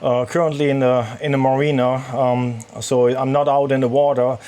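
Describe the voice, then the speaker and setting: A man from Germany with a German accent, talking over ham radio from his sailboat in halting speech full of fillers. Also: mid-range voice, flat delivery, a little mellow, clear, resonant tone. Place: sailboat cabin in a marina.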